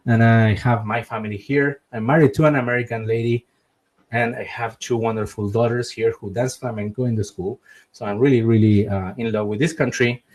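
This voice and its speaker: A middle-aged man from Argentina talks in English with a Spanish accent, closing out an online webinar. He sounds earnest and keeps repeating key words for emphasis, in an ordinary mid-pitched voice. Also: steady tone, brisk, a little mellow. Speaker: webinar host and relocation advisor.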